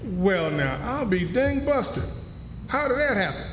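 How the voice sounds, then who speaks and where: A man's voice: high voice, big pitch swings, measured pace, fairly bright tone, slight background noise, heavily acted. A man playing a good-hearted, stubborn runaway slave in a radio play, talking in homespun rural dialect. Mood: curious, puzzled. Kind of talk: stage radio drama acting. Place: live stage in a hall. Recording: echoey hall, music behind.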